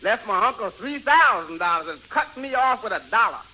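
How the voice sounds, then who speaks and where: An actor playing a breezy, shifty lawyer in a radio sitcom, chattering along and repeating himself. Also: male voice, high-pitched, lively intonation, brisk. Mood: sly, confiding. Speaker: comic supporting actor. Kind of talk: 1940s radio sitcom acting. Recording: muffled old radio recording.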